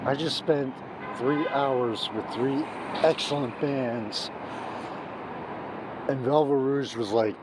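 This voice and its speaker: Hoarse man raving about a band. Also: mid-range voice, slow pace, pauses often. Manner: emphatic, gushing, restarts often. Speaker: music reviewer. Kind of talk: off-the-cuff video review. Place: outdoors on a city street at night. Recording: street noise and traffic behind.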